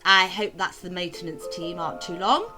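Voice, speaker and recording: A woman's voice: lowish voice, moderate pace, sharp tone, soft background music. A woman playing a tipsy, sarcastic socialite wife in an audio drama, mocking and theatrical as she needles her husband. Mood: bitter, sarcastic. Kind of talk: amateur audio drama acting.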